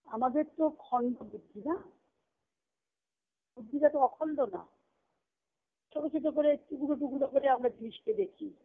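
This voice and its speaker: A woman's voice: sits high, normal speed, pauses often. The sound is narrow and tinny, as on a telephone conference line. A woman gives a devotional discourse in the manner of a teacher, building it from short phrases, repeated points and rhetorical questions. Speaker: spiritual teacher giving a discourse.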